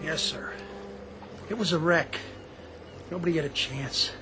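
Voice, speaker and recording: An actor playing a shaken, respectful young Navy diver in a radio drama, speaking in a hesitant, hushed, deferential way. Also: male voice, fairly high pitch, unhurried, music in the background, close to an everyday voice. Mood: subdued. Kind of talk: dramatic radio theatre acting. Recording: clear background noise.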